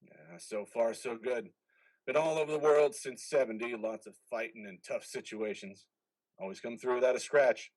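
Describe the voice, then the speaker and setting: A man's voice: normal speed, close to an everyday voice, low-quality recording. A man in a reader's theater drama playing a stern, ruthless veteran military commander with a commanding delivery. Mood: gruff, wary. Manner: commanding, swings from low growl to shouting.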